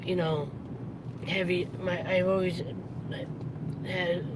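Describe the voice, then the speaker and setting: A raspy-voiced live streamer with heavy breathing, rambling casually while driving a car. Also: mid-range voice, flat delivery, slow. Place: inside a moving car. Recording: road and car noise.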